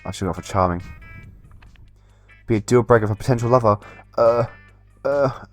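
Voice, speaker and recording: Male gamer, casual narrator of on-screen text, stumbling delivery, average pitch, music underneath. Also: normal speed, no echo. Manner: stumbles over words while reading. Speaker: gamer reading a dating game aloud. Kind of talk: playthrough narration with casual commentary.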